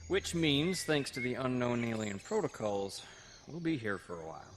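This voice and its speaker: An actor playing an earnest, scholarly young military officer in an audio comic, measured like a storyteller. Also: male voice, medium pitch, animated, natural voice. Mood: earnest, explaining. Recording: roomy playback with loud music bed.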